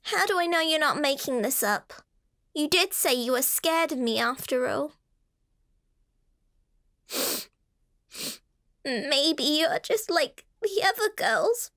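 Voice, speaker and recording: A woman's voice: high voice, expressive, slow, long pauses, fairly bright tone, heavily acted. A woman voicing an eerie, vain talking girl doll that coaxes slyly in a horror radio drama. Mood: sly, goading.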